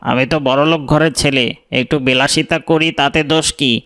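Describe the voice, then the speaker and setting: A man's voice: on the high side, steady tone, fairly quick, fairly bright tone, clear, resonant tone, lightly acted. A man playing an arrogant, spoiled young son-in-law from a rich family, dismissive and stubborn, in a moral cartoon. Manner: dismissive, haughty. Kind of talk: Bengali moral cartoon voice acting.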